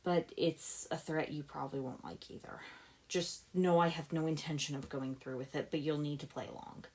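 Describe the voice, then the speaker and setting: An earnest young ninja hero, read by a woman in a low voice. He is shrewd and a little rueful as he explains himself in an adventure story. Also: fairly even intonation, normal speed, a little mellow, natural voice. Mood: rueful, serious.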